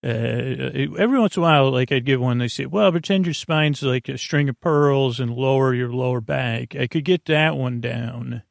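A man with a creaky voice hosting a bedtime podcast, talking in a lulling, halting, meandering monologue that keeps drifting into tangents. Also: medium pitch, no echo, clean studio sound. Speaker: podcast host.

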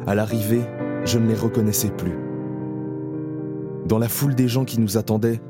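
An actor playing a haunted male survivor in an audio drama, speaking slowly and introspectively in the first person. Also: lowish voice, over loud music, natural voice. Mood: anxious. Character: haunted male camp survivor, numb and fearful.

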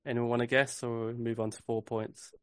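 Male podcast host running a quiz, measured clue reading, dry close sound. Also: mid-range voice, level tone, a bit bright, smooth, no room sound. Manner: reads clues slowly and repeats them.

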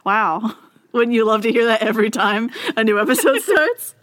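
A woman's voice: a little high, moderate pace, a bit bright, no echo. A young woman co-hosting a comedy podcast in a casual, playful, giggly and informal way. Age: young adult. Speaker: podcast co-host.

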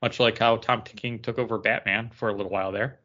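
A man's voice: fairly low pitch, fairly even intonation, brisk, bright, forceful tone. A man talking casually as a co-host on a podcast. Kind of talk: casual chat. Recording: dull lo-fi audio.

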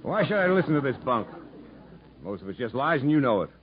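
A man's voice: mid-range voice, sing-song, fairly quick, natural voice. A man acting a blunt, loyal, plainspoken prison warden in a 1950s radio drama. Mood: defiant, angry. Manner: earnest, firm, blunt. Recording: muffled 1950s radio broadcast with music.

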